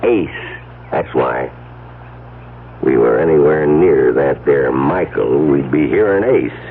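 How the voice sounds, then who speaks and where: An actor playing a folksy, skeptical country cop in a radio drama, with a dry, rustic drawl. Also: male voice, rumbling low, slow pace, gravelly, full character voice. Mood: dry, reasoning. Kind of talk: vintage-style radio drama acting. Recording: muffled old radio recording with hiss.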